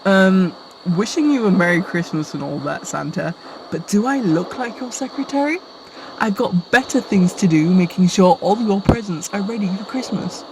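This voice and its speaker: A woman's voice: mid-range voice, animated, moderate pace, natural voice. Woman acting bossy, sarcastic workshop supervisor, noisy recording. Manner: scolding, exasperated. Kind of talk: radio play acting.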